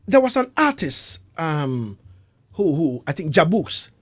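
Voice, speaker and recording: A man hosting a casual interview who reacts with excitement, laughs easily, and acts out the quotes he retells. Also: fairly high pitch, takes it slowly, dry recording. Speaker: interview host.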